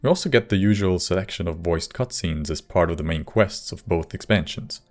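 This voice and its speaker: A man with a low voice narrates a scripted video essay as its host. Music plays clearly under his voice. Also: brisk, a little mellow.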